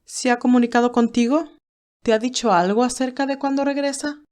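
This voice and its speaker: An elderly matriarch voiced by a woman narrator in an audiobook drama. She speaks in a raised pitch, curt and imperious, issuing formal orders as one who expects obedience. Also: steady tone, a little fast, darkish tone, natural voice. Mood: stern, commanding. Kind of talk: audiobook character dialogue.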